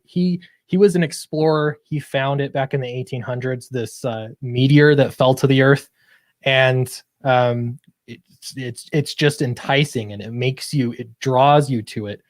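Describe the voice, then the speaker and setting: A man's voice: medium pitch, fairly even intonation, unhurried, no room sound. A man chatting casually as an interview guest, explaining his creative work in an earnest way. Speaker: guest comic book creator. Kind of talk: casual interview chat about own project.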